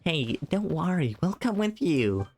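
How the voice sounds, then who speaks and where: A game streamer voicing a small, plucky, squeaky fairy companion from game dialogue. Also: big pitch swings, unhurried, a little mellow, breathy edge, exaggerated voice. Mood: reassuring. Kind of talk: game dialogue read aloud.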